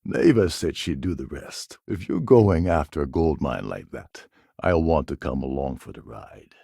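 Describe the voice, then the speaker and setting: Gravelly low-voiced sardonic space pirate. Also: male voice, lively intonation, normal speed, dark tone, no echo, clean studio sound, exaggerated voice. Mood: wry, guarded. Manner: sardonic, wry delivery. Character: cynical, scheming male space pirate pilot, sardonic schemer. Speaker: video game voice actor. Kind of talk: video game character dialogue.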